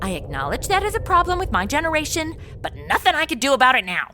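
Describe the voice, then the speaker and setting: A woman's voice: high-pitched, huge pitch swings, quick pace. A woman playing a young modern policewoman who complains sarcastically in a comedy audio drama. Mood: indignant.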